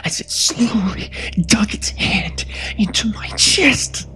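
A woman voicing a terrified, guilt-ridden husband in a first-person horror story, halting and breathy, often dropping to a whisper. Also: mid-range voice, lively intonation, sharp tone, slight background noise. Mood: anguished, panicked. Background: background music.

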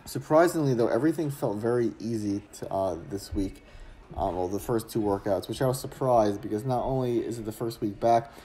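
A man's voice: fairly low pitch, brisk, poor audio. A young man in his twenties, a fitness vlogger, talking casually and explaining things with lots of fillers. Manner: relaxed, explanatory, filler-heavy. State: getting sick. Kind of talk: casual vlog talk to camera.

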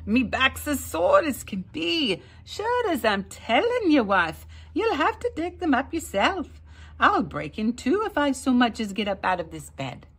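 A lazy Irish peasant whines and moans in self-pity, as a woman puts him on for a storybook. The voice sits higher than her own, with a breathy, gravelly edge. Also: big pitch swings, measured pace, heavily acted. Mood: whining. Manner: whiny, self-pitying.

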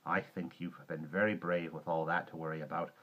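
A man's voice: on the low side, expressive. Man as a kindly, soothing storybook woman, muffled recording. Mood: warm reassurance. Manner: warm, soothing, unhurried. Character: kindly, reassuring woman, calm magical guardian of a child. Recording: dull muffled recording with clear background noise.

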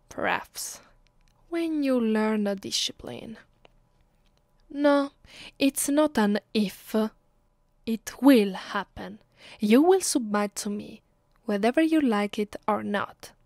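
Slow, commanding Italian-accented vampire mistress played by a woman. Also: on the high side, lively intonation, creaky, a little mellow, no room sound, clean studio sound, full character voice. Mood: menacing. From Italy. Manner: commanding, deliberate. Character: domineering, sadistic female vampire mistress, haughty and cruel. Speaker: voice actress. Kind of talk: ASMR roleplay, close and slow with whispered moments.

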